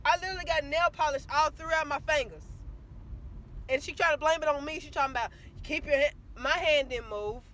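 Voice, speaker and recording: Young woman complaining to her phone in her car, high voice, fired up. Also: a little hiss or hum. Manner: indignant, animated complaining. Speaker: beauty vlogger. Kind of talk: casual vlog rant to camera. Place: inside a parked car. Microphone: phone camera at arm's length.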